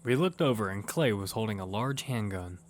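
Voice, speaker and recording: A man's voice: on the low side, expressive, fairly quick, lightly acted. An actor playing a wary young man who narrates and speaks in a battle-survival audio drama. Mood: narrating, tense. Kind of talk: first-person audio drama narration and dialogue. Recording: music behind the voice.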